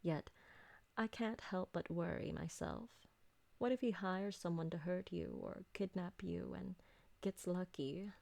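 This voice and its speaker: An elegant vampire lady played by a woman speaks in a lowish, creaky voice. Her diction is formal and deliberate, that of a possessive, doting mistress in an ASMR roleplay drama. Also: warm tone, dry recording. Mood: worried.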